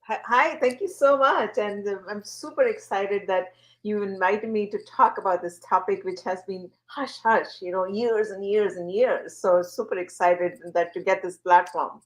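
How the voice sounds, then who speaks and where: A woman's voice: medium pitch, moderate pace, rough recording. A woman from India, a guest on a talk show, answering interview questions earnestly and with many fillers. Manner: animated, earnest, uses fillers. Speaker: guest, wellness platform founder.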